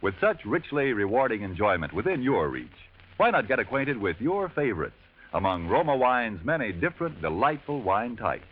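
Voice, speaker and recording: A man's voice: mid-range voice, very animated, moderate pace, husky. An American man announcing a scripted 1940s radio commercial in a polished, emphatic salesman's manner. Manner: polished, emphatic salesman's delivery, spelling out the brand. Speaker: radio announcer and sponsor pitchman. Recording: dull vintage 1940s radio recording.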